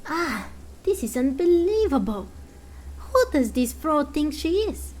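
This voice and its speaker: A woman voicing an indignant, sharp-tongued zealous believer in a fantasy game, scornful and emphatic. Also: high-pitched, very animated, a little slow, voice pushed up. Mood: outraged.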